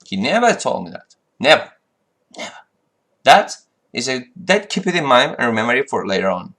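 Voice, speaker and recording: A man's voice: medium pitch, sing-song, normal speed, a bit bright. Frustrated man. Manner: frustrated, rambling with restarts and fillers. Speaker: flight sim vlogger. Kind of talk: casual monologue.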